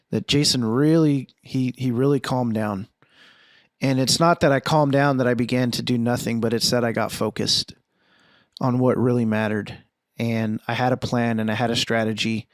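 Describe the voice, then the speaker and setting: A man's voice: medium pitch, dry recording, studio quality. A forty-year-old man hosting a podcast alone, reflecting earnestly and thinking aloud, with frequent restarts and questions to himself. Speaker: podcast host. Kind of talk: reflective solo monologue.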